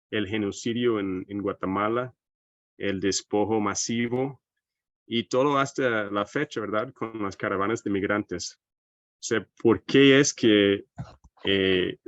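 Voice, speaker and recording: A man's voice: lowish voice, no echo. American guy lecturing in spanish, thoughtful, keeps asking little questions, dull lo-fi call audio. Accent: American English. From United States. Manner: thoughtful, often tags phrases with a questioning aside. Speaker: seminar speaker. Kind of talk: online lecture presentation.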